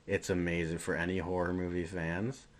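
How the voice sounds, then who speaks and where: A man's voice: sits low, little pitch movement, moderate pace. A man speaking casually and enthusiastically as a podcast co-host discussing films.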